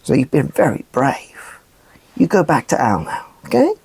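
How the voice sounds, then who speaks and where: A woman playing a gentle, caring adult in a children's puppet show, soothing a child with simple explanations. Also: low-pitched, very animated, normal speed, vocal fry, breathy edge, dry recording, heavily acted. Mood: gentle, instructive.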